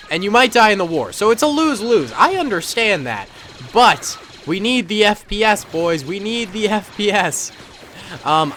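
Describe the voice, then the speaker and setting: A man's voice: a little high, fairly bright tone, slight background noise. A man hosting casual commentary over a battle simulator game, playful and slangy with frequent chuckles, his nose stuffed up from a cold. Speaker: gaming channel host.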